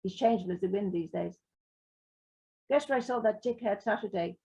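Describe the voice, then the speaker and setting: A blunt working-class man who swears freely, read by a woman in her own voice. The voice is husky with a gravelly edge. The sound is a dull, lo-fi live recording. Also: medium pitch, normal speed, warm tone. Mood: gruff, blunt. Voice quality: rough, sweary talk.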